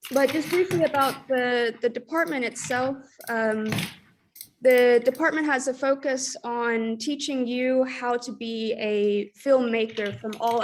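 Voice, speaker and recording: A young woman with an American accent speaks in a casual, chatty way, full of small fillers. Her voice sits a little high, and the sound comes through a video call. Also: noisy background. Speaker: project coordinator. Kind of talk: online info session talk.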